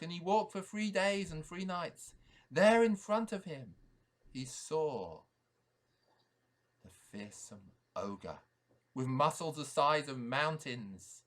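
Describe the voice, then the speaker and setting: A male priest tells a fairy tale at a very unhurried pace. He is dramatic and deliberate, leaning on repeated phrases as a storyteller does. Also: a little high, a bit bright. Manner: dramatic, repetitive, deliberate emphasis.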